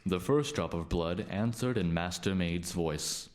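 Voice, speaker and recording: A man's voice: sits low, unhurried. A man narrating a fairy tale as the storyteller of a scripted radio play.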